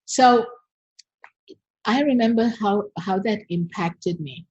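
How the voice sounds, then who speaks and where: Older sri lankan woman telling stories in an interview, husky, dry dull sound. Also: medium pitch, takes it slowly, darkish tone, dry recording. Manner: storytelling, frequent fillers. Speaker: guest. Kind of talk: conversational interview.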